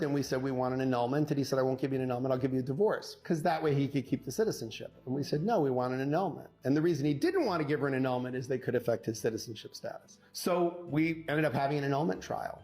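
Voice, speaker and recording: A man telling a courtroom anecdote as a divorce lawyer, in a punchy conversational way, acting out quoted dialogue with dramatic pauses. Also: mid-range voice. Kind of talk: animated conversational storytelling.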